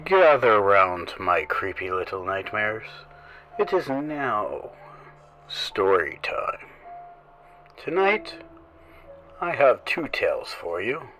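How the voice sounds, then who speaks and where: A man hosting a horror story show, reading scripted tales in a slow, theatrical voice that often drops into hushed whispers. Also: fairly low pitch, big pitch swings, slight background noise, low-quality recording. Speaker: horror show host and narrator.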